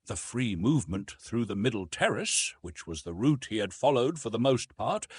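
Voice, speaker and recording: A man narrating a literary story from a script in an expressive, dramatic storytelling manner. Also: medium pitch, slightly rough, dry recording. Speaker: audiobook narrator.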